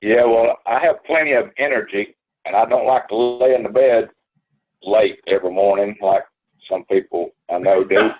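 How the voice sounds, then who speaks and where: Man, guest caller, lowish voice, phone-line sound, plain-spoken. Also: steady tone, moderate pace.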